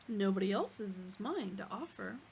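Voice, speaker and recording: A woman's voice: mid-range voice, expressive, moderate pace, a little mellow, slight background noise, close to an everyday voice. Woman voicing a courteous talking beast of a dish. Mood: eager courteous. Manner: courteous waiter-like salesmanship, contented. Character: polite, eager male cow-like beast offering itself as food.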